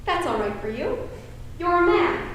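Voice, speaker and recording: A young woman playing a fussy, melodramatic aunt and former beauty queen in a high school stage play. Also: very animated, a little slow, a bit bright, exaggerated voice. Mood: scolding. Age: teenage or young adult. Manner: melodramatic, scolding. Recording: echoey stage, distant camera, faint noise.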